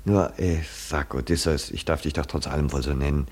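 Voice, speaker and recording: A man's voice: very deep voice, steady tone, fairly quick, a little mellow. An actor playing a condescending, eccentric male admirer of a hero, familiar and theatrical, in 1950s German radio drama style. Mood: rapt, patronising.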